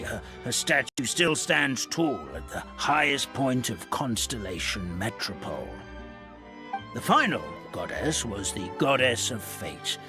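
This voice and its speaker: An actor playing a chubby, forgetful old male paper hamster who chuckles and sighs as he rambles through the forest's history. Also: mid-range voice. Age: older. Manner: doddering, chuckling, sighing storyteller.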